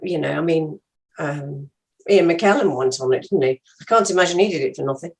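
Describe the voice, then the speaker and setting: A woman's voice: low-pitched, a little fast, a little mellow. An older woman with a British accent, a guest actor talking casually in an interview.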